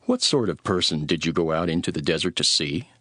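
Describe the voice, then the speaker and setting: A man playing a grave, authoritative teacher instructing his followers in a dramatised scripture reading, with measured, solemn delivery. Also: deep voice, lively intonation, a bit bright, no echo, clean studio sound. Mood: proclaiming.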